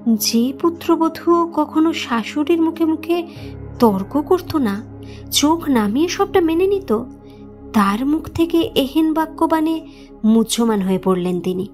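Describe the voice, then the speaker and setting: A woman reading a scripted story as narrator in an expressive, dramatic manner. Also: sits high, a little slow. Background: background music.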